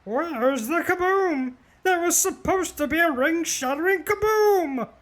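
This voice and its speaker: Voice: extremely high pitch, expressive, unhurried. A performer voicing a triumphant, theatrical moth-man wrestler in a cartoon, over faint noise. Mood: scheming, puzzled. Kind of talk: cartoon voice acting.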